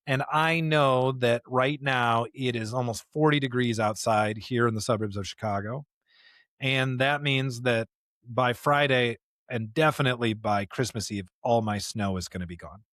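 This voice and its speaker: A man's voice: medium pitch, normal speed, a bit bright, dry recording. An American man co-hosting a conversational scripture podcast, speaking in an earnest, reflective, preacherly way with rhetorical questions. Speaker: podcast co-host.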